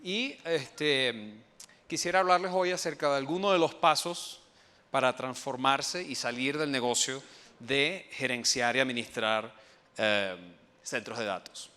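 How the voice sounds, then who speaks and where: Venezuelan male presenter at a conference, bright voice, halting delivery, echoing hall. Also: mid-range voice, clear, resonant tone. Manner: hesitant, many fillers and restarts. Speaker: enterprise architect. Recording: long hall reverb.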